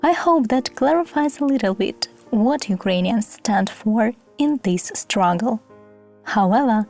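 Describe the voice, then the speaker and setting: A woman presents a scripted podcast introduction as its host. Her voice is fairly high and distinctly mellow, with music playing behind it.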